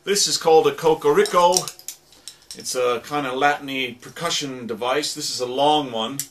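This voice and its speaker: A man giving an informal, halting and rambling demonstration of sound-effect instruments, as a radio drama producer. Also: mid-range voice, fairly bright tone. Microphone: stereo microphone. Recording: noisy recording with stereo microphone.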